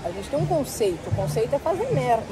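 A woman's voice: on the high side, big pitch swings, fast, over music, rough recording. A young woman with a Brazilian Portuguese accent, chatting and joking to her phone camera while she travels outdoors. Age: young adult. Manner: chatty, joking, self-mocking asides. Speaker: travel vlogger. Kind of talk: casual travel vlog to camera. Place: outdoors on tropical streets and a beach club. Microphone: phone camera held at arm's length.

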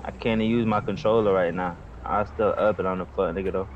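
A man's voice: low-pitched, fairly even intonation, fast. An American man talks casually and slangily as a player in a game. The sound is dull and lo-fi, with faint noise behind him. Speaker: fellow online player.

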